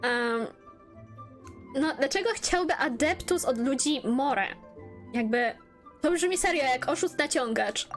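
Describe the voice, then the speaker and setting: A woman's voice: high-pitched, animated, unhurried, pauses often. A Polish woman doing casual let's play commentary, muttering asides to herself as she plays. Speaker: gaming YouTuber. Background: game music.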